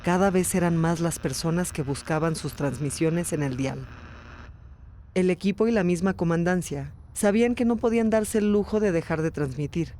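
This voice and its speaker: A woman's voice: lowish voice, moderate pace, mellow, slight background noise. A woman hosting and narrating a documentary podcast in a scripted storytelling style. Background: music under the voice.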